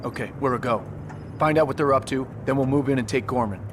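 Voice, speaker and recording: A man playing a brisk Navy SEAL commander in a military video game, clipped and authoritative. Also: medium pitch, noisy background, natural voice. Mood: decisive, businesslike. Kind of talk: cinematic military game acting. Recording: music behind the voice.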